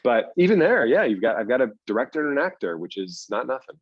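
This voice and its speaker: A man's voice: medium pitch, very animated, quick pace, dry recording, lo-fi sound. A man speaking casually as an interview guest, explaining things in loose runs with repeats for emphasis. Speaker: writer and producer, interview guest.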